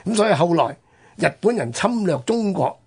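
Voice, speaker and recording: A man with a Hong Kong Cantonese accent hosting a history talk, telling it conversationally in halting, particle-filled phrases. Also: high voice, very animated, gravelly, a little mellow, husky. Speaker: radio history programme host.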